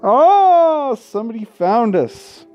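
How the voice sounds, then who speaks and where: A man's voice: very high voice, very animated, takes it slowly, lo-fi sound. A man reading a visual novel aloud as a gaming host, tripping over words, correcting himself and dropping casual asides and swearing. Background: faint game music.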